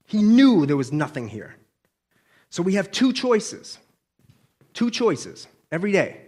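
A man's voice: high-pitched, big pitch swings, measured pace, darkish tone. A man giving an informal, conversational sermon as a preacher, colloquial and often checking in with listeners.

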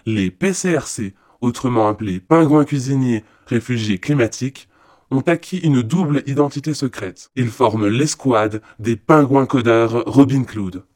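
A man's voice: medium pitch, moderate pace, darkish tone, lightly acted. A man playing the explanatory narrator of a comic Christmas audio tale, formal and matter-of-fact. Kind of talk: scripted mock-documentary narration in comic audio fiction.

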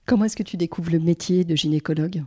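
A woman hosts an interview podcast, asking admiring questions in a slightly low, mellow voice. Her tone stays relaxed and soft-edged. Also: fast, breathy edge. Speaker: podcast host.

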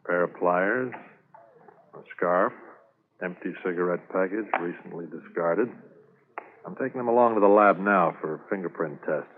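An actor playing a keen, businesslike police investigator and dog handler in a brisk, earnest 1950s radio drama style. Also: male voice, low voice, lightly acted. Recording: noisy, tinny 1950s radio transcription.

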